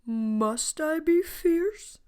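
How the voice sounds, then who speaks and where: A woman voicing a gentle, thoughtful male lion poet in a storybook, speaking in an earnest, measured and emphatic way. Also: high voice, expressive, pitched above the performer's own voice, exaggerated voice. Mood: troubled.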